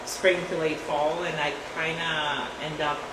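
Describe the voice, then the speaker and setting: A woman in her forties with a Latvian accent, her voice on the low side, chatting casually as a guest. She laughs easily and restarts her sentences as she goes. She sounds set back from the mic in an echoey room with steady noise behind her. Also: measured pace, distant mic. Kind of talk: casual chat.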